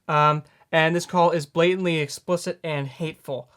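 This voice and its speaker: A man in his late teens hosting a news commentary, earnest and halting as he corrects himself. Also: a little high, fairly even intonation, fairly bright tone, clear, resonant tone. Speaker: news host.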